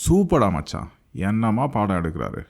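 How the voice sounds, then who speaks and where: A man's voice: fairly low pitch, wildly swooping pitch, moderate pace, creaky, exaggerated voice. A man voicing a cautious, sensible schoolboy friend in a cartoon. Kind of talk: cartoon dialogue.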